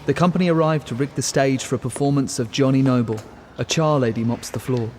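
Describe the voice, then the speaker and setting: An actor as a male narrator looking back on touring life in a radio play. His voice is mid-pitched and reflective, with faint ambience behind. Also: fairly quick, darkish tone, slight background noise, lightly acted. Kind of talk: scripted radio drama narration.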